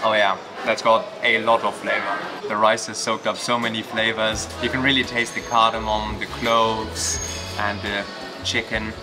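A man's voice: fairly low pitch, flat delivery, normal speed. A young man on a travel vlog, filming himself at arm's length and describing a meal with enthusiasm. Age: young adult. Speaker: travel vlogger. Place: indoor snack restaurant. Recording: music bed underneath.

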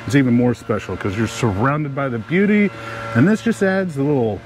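A man co-hosting a casual outdoor cooking demo, talking in a relaxed, chatty way as he explains each step. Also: mid-range voice, fairly quick, background noise. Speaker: cooking show co-host. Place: outdoor campsite. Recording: music playing behind.

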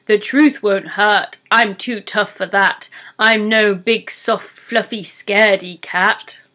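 A brutish giant troll from a children's storybook, acted out by a woman. He boasts and bullies in gruff, broken rustic grammar. The voice is forceful and bright, greedy in every phrase. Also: mid-range voice, takes it slowly. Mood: boastful.

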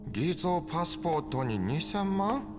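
An actor playing a polite but menacing triad boss in a video game, speaking with formal, cold courtesy. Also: male voice, on the high side, sing-song, a little slow. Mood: coldly menacing. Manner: formal, courteous, coldly threatening. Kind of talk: dramatic video game acting. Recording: music behind.

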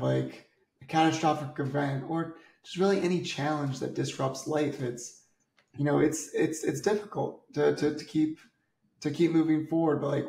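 Gravelly upbeat host on a video call. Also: male voice, fairly high pitch, darkish tone. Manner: upbeat, enthusiastic, with repeated words in questions. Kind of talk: scripted intro then interview questions. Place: indoor home office. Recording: echoey room on video call.

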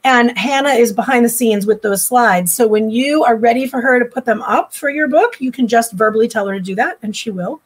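A woman's voice: on the high side, fairly quick, few pauses. Chatty woman moderating a video-call panel. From Boston area. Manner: warm, chatty introductions with frequent "um". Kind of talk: live online panel moderation. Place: home room. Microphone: laptop webcam mic on a video call.

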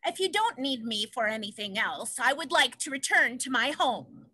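A woman's voice: high-pitched, animated, normal speed, pushed and bright, slightly rough, full character voice. A woman playing a proud, exasperated condor, emphatic and declaiming, in a play reading over a video call. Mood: formal. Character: proud, exasperated condor, a huge flying bird. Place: room at home.

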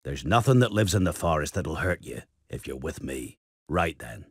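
An actor playing a gruff but kindly giant groundskeeper in a video game, with a gravelly, husky voice. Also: male voice, a bass voice, sing-song, normal speed, no echo, clean studio sound, heavily acted. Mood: grave, cautioning. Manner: folksy, rustic, earnest. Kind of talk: video game character acting.

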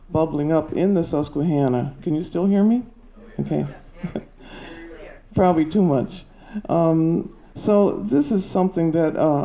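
An older woman with a soft voice giving an earnest, halting public comment at a podium into a handheld mic. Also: low-pitched, rough recording. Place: meeting hall at a podium. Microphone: handheld microphone.

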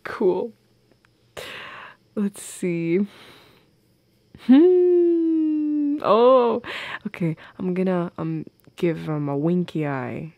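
Soft creaky-voiced teasing goth girlfriend, played by a woman. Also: lowish voice, lively intonation, drawn-out words, pauses often, lo-fi sound, heavily acted. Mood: playful, affectionate. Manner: teasing, coy, giggly. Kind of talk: intimate audio roleplay addressed to the listener.

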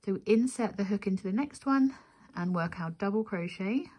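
A woman with a slightly husky voice at a middle pitch guides listeners through a craft tutorial. She goes methodically, one step at a time, and counts aloud as she works. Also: moderate pace, darkish tone. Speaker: crochet tutorial instructor.

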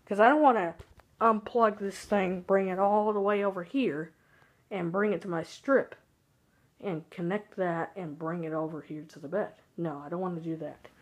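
A man's voice: high voice, fairly even intonation, moderate pace. A young man with a youthful voice giving hesitant, rambling casual commentary on a handheld phone in a bedroom. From Texas, United States. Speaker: weather radio hobbyist vlogger. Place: small bedroom at home, by a window. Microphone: handheld phone camera.

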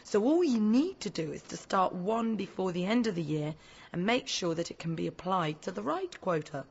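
An actress playing a dry, sardonic celestial assistant in an audio drama, practical and given to wry quips. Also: female voice, mid-range voice, expressive, normal speed, creaky, breathy edge. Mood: encouraging, practical. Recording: muffled audio drama mix under loud music.

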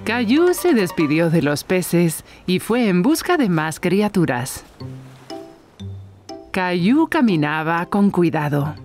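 A woman narrating a children's cartoon as a gentle storyteller for young children. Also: on the low side, big pitch swings, slow pace, faint music behind. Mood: gentle storytelling.

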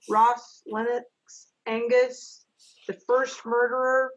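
A woman's voice: mid-range voice, highs cut off. A middle-aged woman, reading stage directions as narrator of an amateur readers' theater over a video call. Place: home office on a video call.

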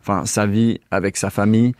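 A man's voice: lowish voice, steady tone, fairly quick, darkish tone, no echo. A man in his thirties from England with an English accent, a native English speaker talking in French as an interview guest, hesitant and often searching for words. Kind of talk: casual conversational interview. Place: small indoor studio with couch. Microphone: lapel mic.

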